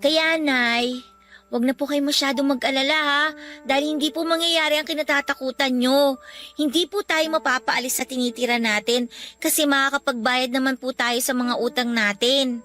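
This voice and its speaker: An actress playing a caring, earnest young child, polite and coaxing, in a Tagalog radio drama. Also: female voice, high voice, fairly even intonation, moderate pace, pushed and bright. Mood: reassuring, earnest. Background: background music.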